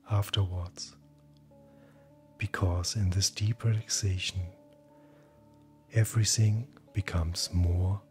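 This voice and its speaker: A man speaking as a hypnotherapist, guiding sleep hypnosis in a calm, gentle, breathy voice that often nears a whisper. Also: deep voice. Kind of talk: guided hypnosis, soothing scripted narration. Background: soft background music.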